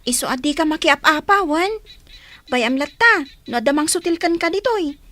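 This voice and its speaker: A woman's voice: high voice, lively intonation, moderate pace, pushed and bright, slight background noise. A woman playing a sensible young big sister in a radio drama, patiently cautioning and admonishing. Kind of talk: Filipino radio drama acting.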